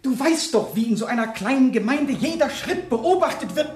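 An actor playing an anxious, loving vicar and uncle in a radio drama, earnest and emphatic. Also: male voice, very high-pitched, expressive, moderate pace, darkish tone, reverberant. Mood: agitated.

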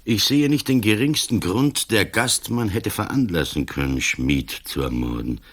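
An older actor with a Swiss German accent playing a calm, stubborn, ailing police commissioner in a radio play, speaking cold and firm. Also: male voice, fairly low pitch, moderate pace. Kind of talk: 1980s radio play acting.

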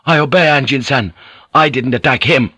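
A defiant young ronin as a male narrator plays him in an audiobook. He snarls insolently in a sharp, forceful voice with a dry sound. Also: medium pitch, moderate pace, husky, dry recording, pitched above the performer's own voice. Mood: defiant obedience.

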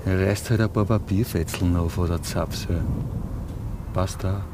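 An actor playing a domineering, sarcastic hijacker with an Austrian accent and colloquial dialect in a radio drama. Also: male voice, sits low, fairly even intonation, unhurried, noisy background. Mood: bossy, sarcastic. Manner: bossy, sarcastic, lecturing. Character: domineering hijacker, a conspiracy-minded man holding two hostages.

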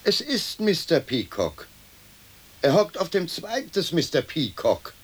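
Pensive gentleman, very slow formal delivery, theatrical radio drama, expressive melody, hissy old recording. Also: a little high, breathy edge, heavily acted. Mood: hushed wonder. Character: courteous, pensive gentleman arguing with His Lordship. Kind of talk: stereo radio play acting.

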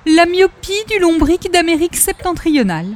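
A gushing, coquettish lady visitor in an old-time radio comedy. Her voice is pitched very high, and she delivers every phrase with flowery, earnest theatricality. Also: sing-song, normal speed, slight background noise. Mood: dramatically earnest. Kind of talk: 1940s-style radio comedy acting.

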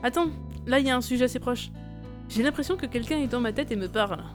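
A woman's voice: a little high, fast talker, music in the background, lightly acted. A woman playing an exasperated, skeptical young woman haunted by a voice, in a conversational audio drama style. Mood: exasperated musing.

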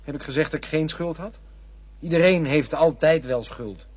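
An actor playing a defensive, wistful man in a Dutch radio play, touchy and drifting into lyrical memories, with a husky voice. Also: on the high side, moderate pace, dry recording. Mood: irritated, defensive. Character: defensive, wistful man looking back on lost loves. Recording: dull, lo-fi radio play recording.

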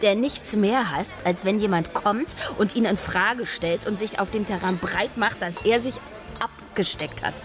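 A woman's voice: mid-range voice, unhurried, lightly acted. An actress playing a young female scriptwriter who talks earnestly and with growing enthusiasm in a radio play.

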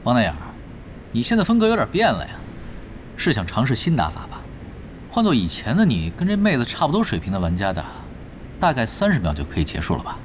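懒散又欠揍的电竞高手，语调起伏很大. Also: male voice, medium pitch, huge pitch swings, fast talker, a little hiss or hum. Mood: playful taunting. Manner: casual, nonchalant, taunting. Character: cocky, laid-back veteran male pro gamer, teasing. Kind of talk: Chinese audio drama acting. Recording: background music under the voice.

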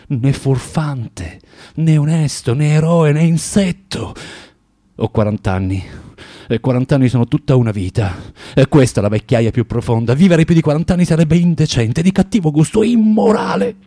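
A male actor playing a bitter, spiteful, tormented former clerk of about forty in a theatrical radio monologue, confessing with halting, emphatic delivery and dramatic pauses. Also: mid-range voice, mellow, no room sound, studio quality, lightly acted. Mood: defiant, then scornful, indignant.

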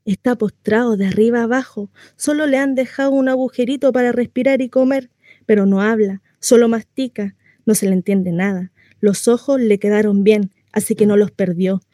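A woman's voice: on the high side, steady tone, moderate pace, warm tone, dry recording, clean studio sound, close to an everyday voice. A woman playing a lonely, naive housewife in a theatrical radio monologue, gossipy, rambling and coyly confiding in a neighbor. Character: chatty lonely housewife, naive, insistently cheerful about her confinement.

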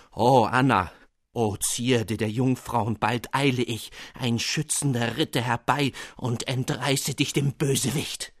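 A man's voice: medium pitch, no room sound, studio quality. A man voicing a lovesick young poet who writes in grandiloquent, swooning phrases.

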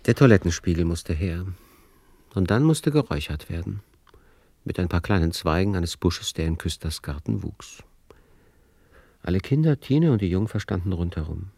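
A man's voice: low-pitched, very animated, moderate pace, vocal fry, a little mellow, no room sound. A man narrating a radio play in a scripted, literary storytelling style.

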